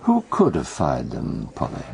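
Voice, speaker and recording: A man with a British accent acting in a radio drama as a brooding, enigmatic storyteller who recounts crimes with deliberate, theatrical phrasing. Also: extremely low pitch, huge pitch swings, gravelly, dark tone, heavily acted. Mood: suspenseful.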